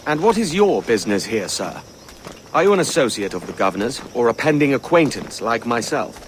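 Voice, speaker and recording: An actor playing a roguish, glib sailor in a video game, falsely polite with a casual, slightly hesitant wryness. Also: male voice, medium pitch, sing-song, a little fast, a little hiss or hum, lightly acted. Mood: wry, probing. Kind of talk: video game cutscene acting.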